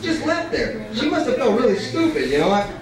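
A man's voice: very high voice, big pitch swings, a little fast. Young man reading in a very echoey cafe. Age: young adult. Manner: colloquial, profane. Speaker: writer reading his work. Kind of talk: live reading of prose and poetry at a microphone. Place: small dim cafe, indoors. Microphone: stand microphone, picked up by far camera. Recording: echoey cafe, distant camcorder, crowd chatter. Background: audience chatter.

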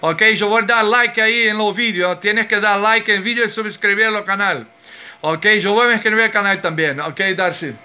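A man with a high, forceful voice and a Brazilian Portuguese accent chats as a cordial radio amateur. He gives call signs and signal details in a casual way. The recording is dull and lo-fi. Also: moderate pace. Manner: friendly, cordial.

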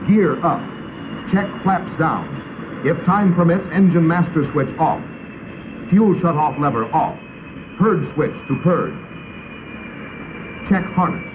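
A man's voice: on the high side, animated, slow, long pauses, noisy background. Tinny 1950s American male training-film narrator. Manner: crisp, authoritative, instructional.

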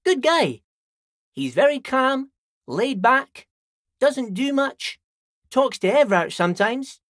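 A man's voice: extremely high pitch, very animated, takes it slowly, fairly bright tone, no echo, studio quality, full character voice. An actor playing a friendly, simple-minded old dockworker in a video game, folksy and rambling, repeating himself as he chats. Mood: friendly, chatty. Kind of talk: video game character dialogue.

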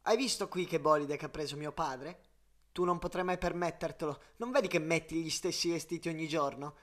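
A man's voice: on the high side, brisk, a bit bright, lightly acted. A man voicing an arrogant young alien bully in a children's cartoon, boastful and sneering. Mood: mocking, boastful.

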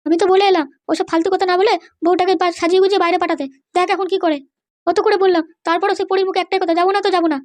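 A woman's voice: very high-pitched, fairly even intonation, racing, darkish tone. A woman voicing an aggrieved, scheming older mother-in-law in a comedy cartoon, complaining and scolding. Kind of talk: exaggerated cartoon dialogue.

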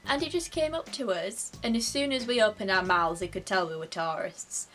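A woman's voice: mid-range voice, fairly quick, a bit bright, faint music behind. A young woman in her twenties with a Yorkshire accent, chatting to camera in a playful, self-mocking way from her bedroom. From Yorkshire, England. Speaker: vlogger. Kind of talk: casual comic chat to camera. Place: bedroom, indoors.

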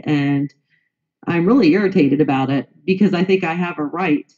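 A woman's voice: sits low, mellow, muffled recording. A woman with a bad cold, answering interview questions as a guest in an indignant, repetitive way. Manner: indignant, repeats herself. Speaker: guest, news reporter. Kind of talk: interview answers, aggrieved complaint.

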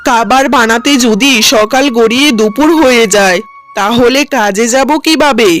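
A woman's voice: on the high side, normal speed, fairly bright tone, soft background music, close to an everyday voice. Woman acting an earnest, pleading young husband. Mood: annoyed. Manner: pleading, reproachful. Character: poor, earnest young married working man. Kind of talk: cartoon drama acting.

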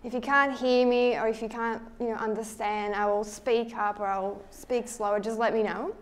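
A woman's voice: on the high side, normal speed. Slovak-accented young woman giving a talk. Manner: friendly, relaxed, thinks aloud with restarts. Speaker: guest speaker, underwater photographer. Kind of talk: presentation to a live audience. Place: lecture hall at a photo show.

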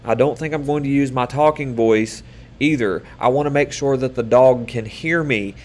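A man in his thirties giving an online lesson to children, speaking patiently and upbeat, with many fillers and pauses, recorded on a webcam in a small room. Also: mid-range voice, darkish tone. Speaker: teacher.

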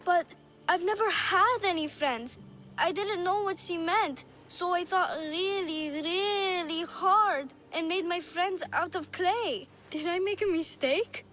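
A woman playing a naive, lonely little orphan girl in a video game, with an earnest, halting, childlike way of speaking. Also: very high-pitched, a bit bright, soft background music, full character voice. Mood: sad, earnest. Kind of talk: video game cutscene dialogue.

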